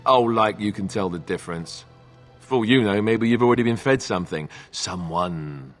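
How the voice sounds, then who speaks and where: Man playing a low-voiced, needling adventurer. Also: normal speed, faint music behind, close to an everyday voice. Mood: sly teasing. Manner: casual, needling. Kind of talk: video game character dialogue.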